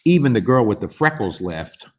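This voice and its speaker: A man in his sixties with a husky voice, reading a picture book aloud to young children in an expressive, unhurried way. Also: on the low side, slight background noise. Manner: expressive, unhurried, with emphatic swings. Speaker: story time host reading aloud. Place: school library, indoors.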